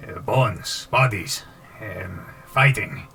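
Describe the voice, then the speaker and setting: A man voicing an impatient underground creature that speaks haltingly in broken grammar for a tabletop game. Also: lowish voice, sharp tone, soft background music. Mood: halting, urgent.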